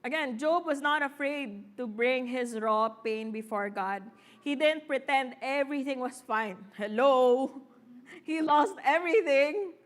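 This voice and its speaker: A woman's voice: high voice, a little slow. A woman with a Filipino accent, a parent, preaching an earnest sermon in church, mixing English and Tagalog with fillers. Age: adult parent. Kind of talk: Taglish sermon.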